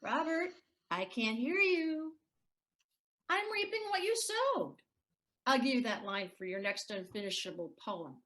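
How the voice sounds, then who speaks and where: A woman's voice: on the high side, very animated, unhurried, a bit bright. A woman playing a bitter, hard-drinking ex-wife in an audio play, taunting and sardonic. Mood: bitter, angry.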